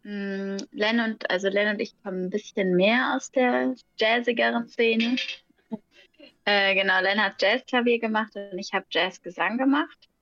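A young woman, a singer who is a guest, talking casually in an interview, relaxed, often laughing and searching for words. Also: mid-range voice, measured pace, no echo, lo-fi sound.

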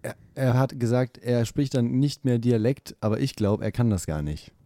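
Joking male co-host, mellow voice. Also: mid-range voice, expressive, normal speed, dry recording, clean studio sound. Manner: joking, self-mocking, swears. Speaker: radio co-host. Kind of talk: casual radio chat.

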